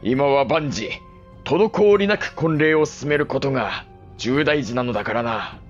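An actor playing a cold, middle-aged samurai patriarch in a period audio drama, speaking in formal, commanding lordly phrases. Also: male voice, mid-range voice, expressive, measured pace, muffled recording, faint music behind, natural voice.

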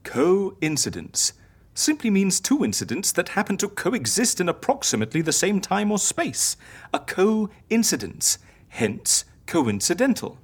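A confident, theatrical death faker in an audio drama. Her voice is low and husky, swinging widely as she explains like an eager teacher. She is philosophical, encouraging and sure of herself. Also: very animated, a little slow, exaggerated voice. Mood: didactic, philosophical. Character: theatrical, confident woman who fakes deaths for clients.

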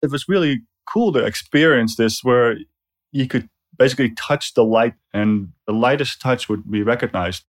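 A man's voice: medium pitch, moderate pace. A man being interviewed as a designer, recalling past work in a casual, informal, loosely phrased way. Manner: informal, loosely phrased, often quoting dialogue. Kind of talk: casual spoken recollection.

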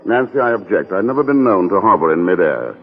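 An actor playing a suave, wry, bantering globe-trotting adventurer in a 1940s radio play. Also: male voice, low voice, big pitch swings, quick pace, breathy edge, full character voice. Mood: wry, protesting. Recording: muffled tinny 1940s radio recording.

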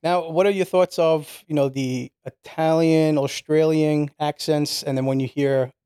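A man's voice: on the high side, fairly even intonation, measured pace, warm tone, clear, resonant tone, no room sound, clean studio sound. A man hosting an interview, asking loose, rambling questions full of fillers. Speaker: podcast host.

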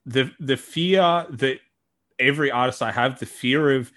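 A man from Australia with a deep voice, hosting a podcast and talking in a reflective, earnest way. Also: a little slow, a bit bright. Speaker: podcast host. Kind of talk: casual interview talk.

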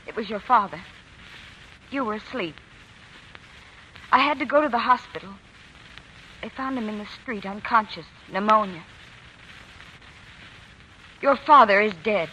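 A stoic, weary working-class mother in an old radio play. Her voice is medium-pitched and bright, with faint noise on the vintage 1940s recording. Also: measured pace, pauses often, low-quality recording. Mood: grave, strained. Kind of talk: 1940s radio drama acting.

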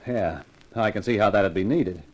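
A man's voice: on the low side, moderate pace, noisy background, natural voice. A man playing a breezy, irreverent, hard-drinking detective, acting and narrating in a colloquial way in a 1950s radio mystery. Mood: wry banter. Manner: wry, colloquial, hesitant asides.

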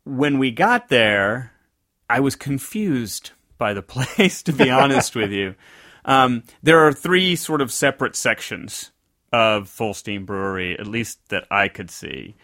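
A man's voice: medium pitch, animated, unhurried, pushed and bright, dry recording, clean studio sound. A man from the American South, co-hosting a casual podcast and telling a rambling story that often circles back to correct itself. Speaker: podcast co-host. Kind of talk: casual conversational podcast chat.

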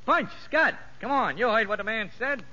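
An actor playing a shrewd, streetwise convict ringleader in a 1950s radio drama, sly and slangy. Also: male voice, high voice, expressive, normal speed, pushed and bright, heavily acted. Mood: sly, confiding. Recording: echoey, dull vintage radio recording.

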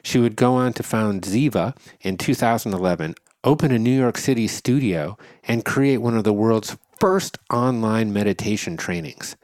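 Low-voiced man hosting a podcast. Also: moderate pace, hardly any pauses, darkish tone, no echo. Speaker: podcast host. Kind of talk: scripted intro then interview questions.

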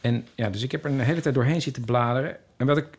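A man's voice: medium pitch, very fast. A man of about fifty chatting informally on a podcast, often restarting his thoughts. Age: 50s. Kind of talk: casual podcast chat.

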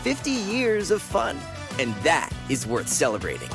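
Commercial announcer, mid register, animated celebratory read, prominent music bed. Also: medium pitch, unhurried, creaky. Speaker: advert announcer.

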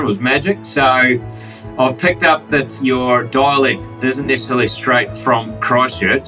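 An upbeat male podcast host introduces his guest, his voice bright and forceful. He sits a little away from the mic, and a plucked guitar plays faintly behind him. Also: mid-range voice, recorded from a distance, low-quality recording. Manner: upbeat, enthusiastic, calls listeners "guys". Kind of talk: interview introduction.

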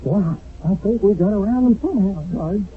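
An actor playing a jittery, folksy young American soldier in a wartime radio drama, excitable in manner. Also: male voice, high voice, normal speed, natural voice. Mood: excited. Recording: tinny, noisy old radio broadcast.

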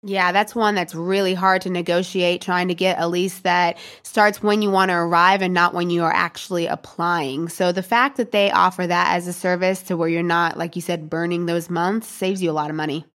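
A woman's voice: fairly low pitch, fairly even intonation, normal speed, hardly any pauses, a bit bright. A woman hosting a podcast, talking in a casual conversational style. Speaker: podcast host. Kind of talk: casual chat and interviewing.

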